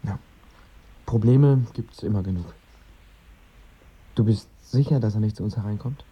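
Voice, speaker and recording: Ein Schauspieler spielt in einem Hörspiel einen zögerlichen, verlegen ausweichenden Liebhaber. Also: male voice, fairly low pitch, normal speed, husky, muffled recording. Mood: awkward, hesitant. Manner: hesitant, awkward. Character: hesitant male lover, awkward and evasive. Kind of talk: radio drama acting.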